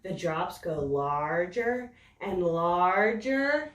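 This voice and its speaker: A woman reading a picture book aloud to children in a slow, expressive, sing-song storytelling voice. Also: on the low side, distant mic. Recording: roomy echo, voice set back from the mic.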